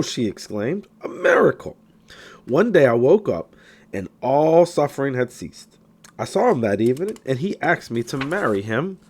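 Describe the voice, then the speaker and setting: A man reading a self-help book aloud in scripted narration, with emphatic, deliberate phrasing. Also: mid-range voice. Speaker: audiobook reader.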